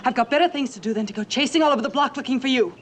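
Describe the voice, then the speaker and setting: High-voiced, scolding mother, played by a woman. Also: racing, background noise, natural voice. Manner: stern, insistent. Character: exasperated, scolding mother of a young boy. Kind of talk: film drama acting.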